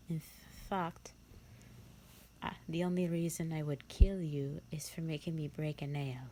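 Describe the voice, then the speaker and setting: A haughty vampire thousands of years old, played by a woman, speaks in a low, breathy half-whisper. She drawls very slowly, bored and condescending, as in a theatrical roleplay audio. There is faint noise in the recording. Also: fairly even intonation, mellow. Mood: disdainful.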